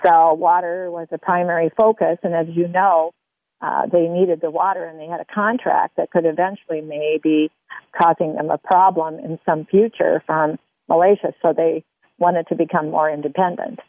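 A woman hosts a radio interview in a chatty, rambling way. Her medium-pitched voice has a lot of creak and gravel, and it comes through tinny and narrow, like a phone. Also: little pitch movement, normal speed, no room sound. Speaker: radio show host.

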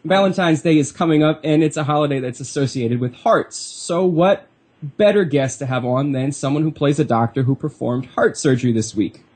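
A man's voice: fairly high pitch, normal speed, a little mellow, slightly rough. A man hosting a live internet radio show, stuffy from a cold, chatty and playful. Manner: playful, chatty, self-mocking.

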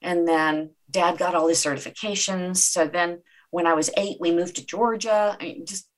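A woman's voice: fairly low pitch, steady tone, normal speed. A woman whose first language is Czech, speaking as a guest in an interview with an earnest, reflective manner. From Czech Republic. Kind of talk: conversational interview.

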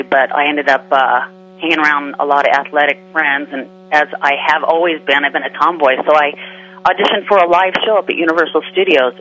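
A woman stunt performer chats as a radio guest in a bright, sharp voice. She is upbeat and breezy and laughs easily as she tells her story. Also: deep voice, rough recording. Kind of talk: casual radio interview.

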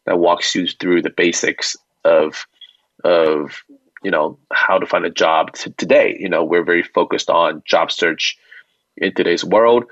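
A man's voice: deep voice, a bit bright, no room sound. A man answering interview questions as a guest in an informal, reassuring, conversational manner over a remote call. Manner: informal, reassuring, often checks with "right?". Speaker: company founder and CEO, interview guest. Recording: dull, dry remote call audio.

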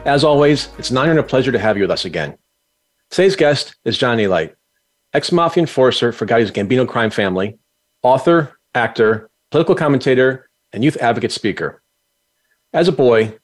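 A man hosting an interview podcast at a middle pitch. He reads a formal introduction in a steady, measured way and then puts questions to his guest. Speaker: podcast host.

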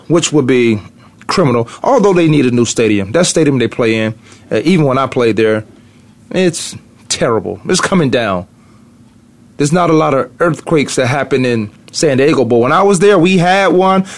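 A middle-aged man hosting a morning sports talk radio show from a studio, rambling and thinking aloud as he goes. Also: fairly high pitch. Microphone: studio mic.

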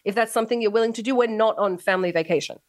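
Hoarse, teasing woman radio host. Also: mid-range voice, very fast, no room sound, clean studio sound. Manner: playful, teasing, laughs often. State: losing her voice, hoarse. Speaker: talk show host. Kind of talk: casual radio chat.

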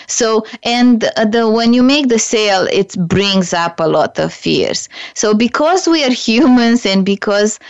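A woman from Romania hosts her own business show, speaking in a smooth, clear voice. She talks effusively and warmly, restarting phrases as she goes. The recording is dry and a little dull. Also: mid-range voice, dry recording. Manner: warm, effusive, speaks slowly with frequent restarts and "like". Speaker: podcast host and business coach. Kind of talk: solo podcast monologue. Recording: dry, slightly dull studio sound.

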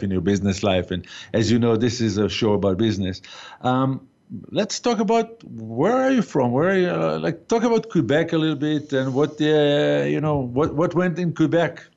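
Hesitant host with a muffled sound. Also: male voice, mid-range voice, expressive, normal speed, vocal fry, darkish tone. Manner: hesitant, many fillers. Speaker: talk show host. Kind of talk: casual interview. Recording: dull lo-fi sound.

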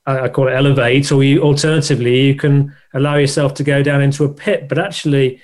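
A man's voice: medium pitch, little pitch movement, quick pace, darkish tone. Hesitant man asking interview questions. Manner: rambling, hesitant questions with restarts. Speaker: podcast host.

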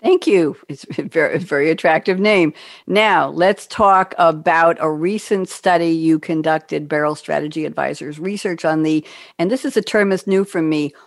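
Woman podcast host, lowish voice, dry sound, polite questions. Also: normal speed, creaky, no room sound. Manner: polite, scripted introductions. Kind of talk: interview hosting.

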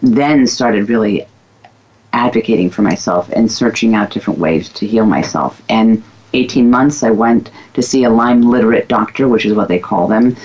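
A woman's voice: rumbling low, normal speed, slightly rough. A woman guest, a former physical therapist, talking candidly and emphatically in casual conversation. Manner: candid, emphatic, self-described loudmouth. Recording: dull lo-fi recording.

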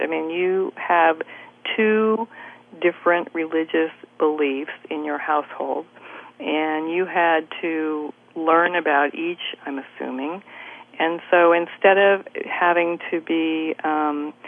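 A woman's voice: low voice, fairly even intonation, unhurried. A woman hosting a radio interview show, chatty and effusive in her questions. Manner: effusive, chatty, many "you know"s. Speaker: host. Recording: tinny phone-quality broadcast.

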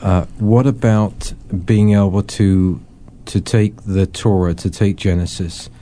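A man's voice: on the low side, steady tone, slow, mellow, a little hiss or hum. A man hosting a religious discussion, moderating conversationally and often repeating words as he frames his questions. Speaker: host of a religious discussion series.

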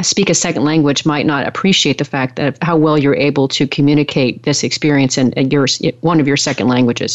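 A woman's voice: low-pitched, no room sound. A woman hosting a conversational interview in an encouraging, appreciative manner. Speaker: host, interviewer. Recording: dry, slightly dull lo-fi sound.

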